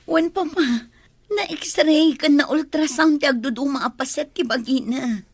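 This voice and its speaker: Very high-pitched, tearful young daughter. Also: female voice, fairly even intonation, moderate pace, dry recording. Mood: worried. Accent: Ilocano. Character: distraught young daughter pleading with her father. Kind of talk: Ilocano radio drama acting.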